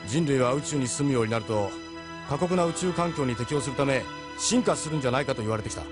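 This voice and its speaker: Man acting a deep, wry spaceship captain. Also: lively intonation, quick pace, over music, lightly acted. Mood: musing, explanatory. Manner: frank, worldly, wry. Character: seasoned, persuasive ship captain of a secret movement. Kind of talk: Japanese audio drama acting.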